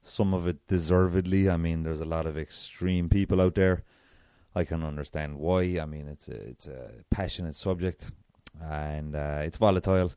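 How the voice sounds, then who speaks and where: An Irish man with a very deep voice hosting a solo podcast, chatty and self-deprecating. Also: fairly even intonation, normal speed, dark tone, clear, resonant tone, no room sound, studio quality. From south of Ireland. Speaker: podcast host.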